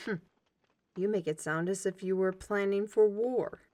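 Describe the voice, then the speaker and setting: A woman playing a shrewd, outspoken steward in a fantasy audio drama, with a quaint, expressive way of talking. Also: medium pitch, normal speed, lightly acted. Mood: wary, explaining.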